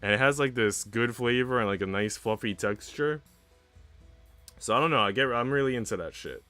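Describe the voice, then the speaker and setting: A man's voice: medium pitch, moderate pace, sharp tone, no room sound. A young man streaming, chatting casually in a slangy, laid-back way. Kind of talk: casual livestream chat. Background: music.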